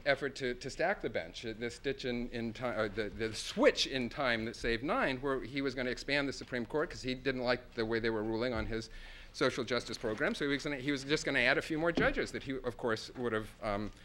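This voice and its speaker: A man with a bright, forceful voice finishing his remarks on a conference panel. He speaks earnestly but haltingly, stopping and restarting mid-phrase. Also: mid-range voice. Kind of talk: closing remarks at a roundtable.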